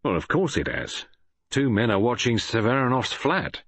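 A man's voice: mid-range voice, very animated, measured pace, fairly bright tone, breathy edge, dry recording, pitched above the performer's own voice, full character voice. A man voicing a blunt, jovial police inspector with a hearty, bluff manner.

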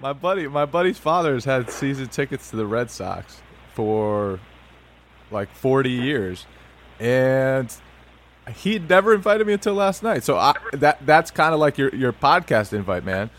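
A young man hosting a sports podcast, chatting informally and haltingly with lots of slangy asides. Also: medium pitch, sing-song, slight background noise. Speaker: podcast host, sports reporter. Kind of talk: casual chat interview.

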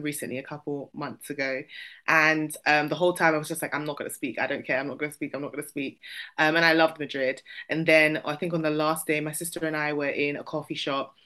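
A woman's voice: sits low, fairly even intonation, a little fast, sharp tone. A young woman from the UK chatting casually as a podcast guest, rambling with lots of fillers and restarts. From United Kingdom. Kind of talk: casual chat.

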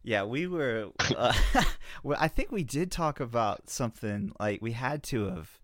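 A man's voice: mid-range voice, expressive, a little slow, fairly bright tone, no echo, clean studio sound. A man hosting a casual podcast, talking in a laid-back, rambling way with plenty of swearing. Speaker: podcast host.

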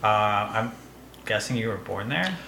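Male host, easygoing and encouraging, halting phrasing; low pitch, bright tone, roomy echo. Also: animated, creaky, slight background noise. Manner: easygoing, supportive, halting with fillers. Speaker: podcast host. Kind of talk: casual chat.

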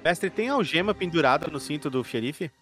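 Slangy Brazilian man, slightly high voice. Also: expressive, fairly quick, fairly bright tone, soft background music. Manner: slangy, swearing. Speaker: tabletop game player. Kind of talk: casual game chat.

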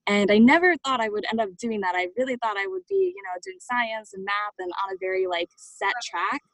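A woman's voice: mid-range voice, animated, brisk, fairly bright tone, dry recording, rough recording. Young woman guest on a podcast, chatty w lots of likes and ums, dry close sound. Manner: chatty, many "like" and "um" fillers. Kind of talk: casual interview answers.

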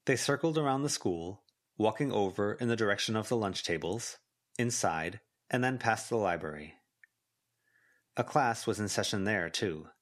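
A man's voice: lowish voice, expressive, normal speed. A man reading scripted fiction as a volunteer audiobook narrator.

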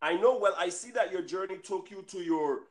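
A man hosting a casual podcast interview, excitable and quick with hype interjections. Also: high voice. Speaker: podcast host.

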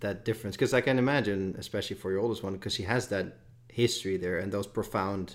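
A man's voice: lowish voice, very fast. A man hosting a casual podcast interview, asking long, rambling questions in a chatty way. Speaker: podcast host.

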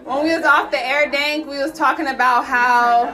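A woman's voice: high-pitched, steady tone, moderate pace, rough recording. A woman from Los Angeles hosting a podcast interview in loose, slangy casual chat, often repeating herself. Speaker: podcast host. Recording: crowd chatter behind the voice. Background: loud crowd talking.

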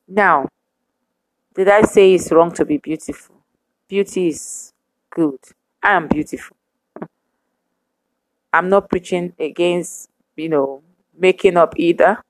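A woman preaching a sermon to women, speaking in measured, emphatic repetitions. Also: lowish voice, no echo, low-quality recording.

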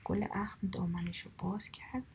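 A woman telling a fairy tale in a slow, soft whisper throughout. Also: medium pitch, level tone, vocal fry, slight background noise. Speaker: storyteller. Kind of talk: whispered storytelling, ASMR.

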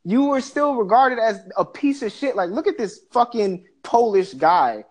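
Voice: mid-range voice, lively intonation, a little slow. Profane ranting podcast co-host from the Bahamas. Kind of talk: casual podcast discussion.

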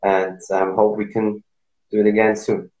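A man's voice: fairly low pitch, completely flat delivery, moderate pace, darkish tone, muffled recording. A man hosting an interview podcast, encouraging his guest with short agreeing remarks. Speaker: podcast host. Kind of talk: casual interview chat. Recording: roomy echo.

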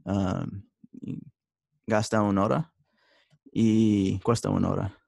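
A young man, a religious brother studying for the priesthood, speaking Spanish as a third language and answering in a halting way with many restarts. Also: lowish voice, level tone, gravelly, darkish tone, no room sound. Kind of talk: interview answers.

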